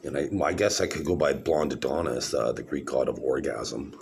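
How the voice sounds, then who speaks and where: Very deep, gravelly-voiced middle-aged man. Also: brisk, darkish tone, slight background noise. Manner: meandering, many fillers and restarts. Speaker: vlogger showing his own videos. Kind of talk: rambling casual monologue to camera.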